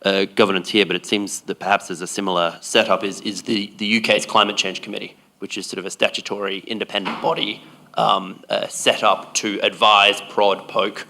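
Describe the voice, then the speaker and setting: A man's voice: on the low side, little pitch movement, a little fast, gravelly, pushed and bright. A man speaking on a conference panel, answering at length in a discursive, hedging way.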